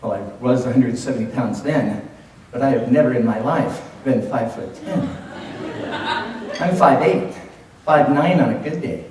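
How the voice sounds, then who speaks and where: A man of fifty with a Californian American accent tells a humorous story as a contest speech, theatrically, with dramatic pauses and emphasis. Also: medium pitch, a little mellow. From Los Angeles, United States. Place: indoor room at a speech contest, audience present. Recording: echoey room from far off, faint noise.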